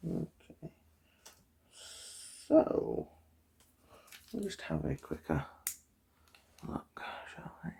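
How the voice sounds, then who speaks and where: A soft-spoken ASMR performer role-playing an eye examiner, murmuring and often whispering in an unhurried, chatty, polite clinical patter with a breathy, husky voice. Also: mid-range voice, wildly swooping pitch.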